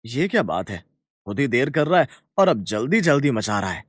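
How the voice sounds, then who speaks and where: A man voicing a kind, earnest young village farmer in a cartoon story, with a colloquial, animated manner. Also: medium pitch, moderate pace, dry recording, clean studio sound. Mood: hurried. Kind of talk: Hindi cartoon story dialogue.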